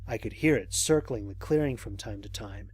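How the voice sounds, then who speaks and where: An actor playing a cautious, observant male space explorer, recording mission logs in a measured, reflective way for an audio drama. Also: fairly low pitch, a little mellow, slightly rough, no echo. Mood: wary, reflective. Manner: measured, reflective, formal diction with deliberate pauses. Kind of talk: scripted audio drama monologue.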